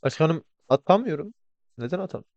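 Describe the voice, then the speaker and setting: Fast-talking, brash, sweary man. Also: fairly high pitch, lively intonation, darkish tone, no echo, highs cut off. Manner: brash, profane, slangy. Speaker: roleplay game player. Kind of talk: casual chat while gaming.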